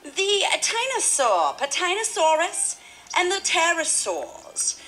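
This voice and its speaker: A woman's voice: very high-pitched, huge pitch swings, slow pace, pushed and bright, low-quality recording. A woman presenting a playful mock documentary in a theatrical, exclaiming manner. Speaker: presenter of a student educational newsreel.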